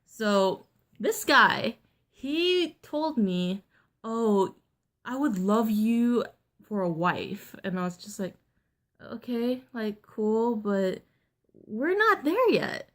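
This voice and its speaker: Blunt young woman with a deeper voice. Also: expressive, very slow. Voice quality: deeper voice than most women. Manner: candid, blunt and chatty, with frequent fillers. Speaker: vlogger. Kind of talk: casual storytime vlog.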